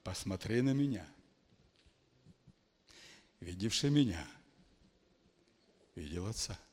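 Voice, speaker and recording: A man's voice: deep voice, expressive, slow, husky. Preaching man echoing through a hall. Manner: emphatic, dramatic swings from quiet to shouting. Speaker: pastor. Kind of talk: charismatic sermon. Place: church hall. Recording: long hall reverb.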